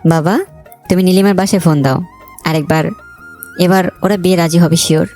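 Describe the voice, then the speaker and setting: A woman's voice: lowish voice, fairly even intonation, brisk, darkish tone, faint music behind, voice pushed down, lightly acted. A woman voicing a cold, vengeful young man in a romantic story, with a curt, commanding manner. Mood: insistent. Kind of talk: dramatised romantic story dialogue.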